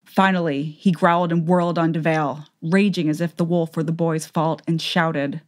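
A woman's voice: deep voice, steady tone, normal speed. A woman narrator reading a fantasy tale in a scripted, dramatic audiobook style.

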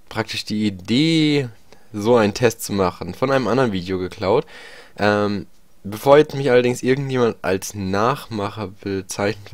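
A young man with a slight cold talks through a gameplay tutorial, chatty and hesitant, often pausing on fillers. His voice sits fairly low. The recording is dry and close, with no room sound around it. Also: no echo. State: slight cold, clearing throat. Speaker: gaming YouTuber.

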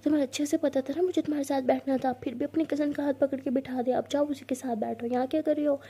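A woman voicing a quick-tempered young woman who scolds and teases in rapid, exclamatory bursts. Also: sits high, steady tone, dark tone. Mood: scolding.

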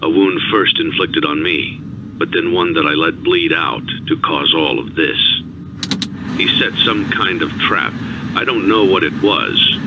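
Grave low-voiced man on tape. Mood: grave, remorseful. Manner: solemn, deliberate. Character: grave, remorseful older male inventor on tape. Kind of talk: dramatic game monologue. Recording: dull, lo-fi tape with faint noise.

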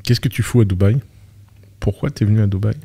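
A man interviewing a guest on a studio podcast, asking relaxed, teasing questions. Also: on the low side, brisk, breathy edge. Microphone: studio mic on boom arm, headphones.

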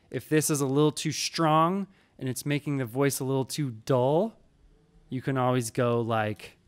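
A man in his thirties teaching audio editing in a relaxed, explanatory way, recorded on a studio microphone in a small home studio. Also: mid-range voice, smooth. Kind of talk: screen-recorded tutorial. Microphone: studio mic in frame, wearing headphones.

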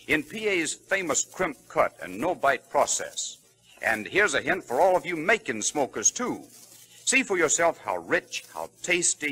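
A male announcer on an old 1930s radio broadcast, delivering a polished advertising pitch. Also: bright, forceful tone, slightly rough, rough recording.

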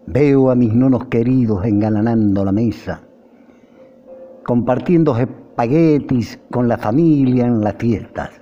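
An older man with an Argentine accent recites poetry in a very dark, mellow voice. His declamatory phrasing is slow and swings widely in pitch. The recording is dull, with music playing behind him. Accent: Argentine Spanish. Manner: measured, declamatory phrasing with rises and falls. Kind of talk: heartfelt recitation of a nostalgic tribute poem.

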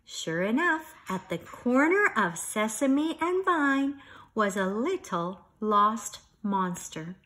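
Woman, middle-aged, expressive teacherly storybook read-aloud, unhurried and very lively in pitch. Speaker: children's storybook reader. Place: living room at home, indoors.

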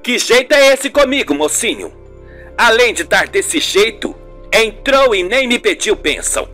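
A man's voice: sits high, very animated, unhurried, bright, forceful tone, soft background music, exaggerated voice. A man voicing a melodramatic, guilt-ridden older mother in an amateur radio soap. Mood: wounded, nagging.

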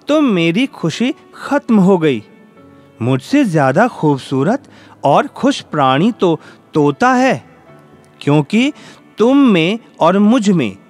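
Guy doing a gentle wistful swan, kids story, high and lively voice. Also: heavily acted.

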